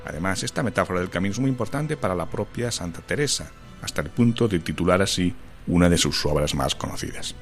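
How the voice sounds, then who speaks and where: A man reading a formal news voice-over in a measured, steady way. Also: deep voice, faint music behind. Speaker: news narrator. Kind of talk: scripted voice-over narration.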